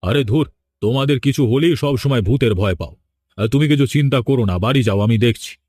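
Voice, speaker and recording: A man's voice: medium pitch, brisk, a little mellow, lightly acted. A man voicing a plain-spoken, fretful and scolding village man in a horror cartoon. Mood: impatient.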